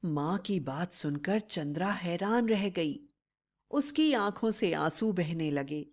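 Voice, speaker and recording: Slow, lower-pitched woman storyteller. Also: expressive. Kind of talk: scripted fairy-tale narration.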